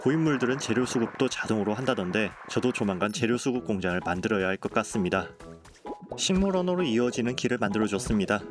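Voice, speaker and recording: A man narrating a game building video in a calm, polite, explanatory voice-over. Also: medium pitch. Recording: voice-over with clear background music.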